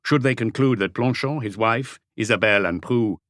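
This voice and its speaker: A man's voice: medium pitch, moderate pace, a bit bright, no room sound, studio quality. A man narrating a mystery audiobook as a scripted reading.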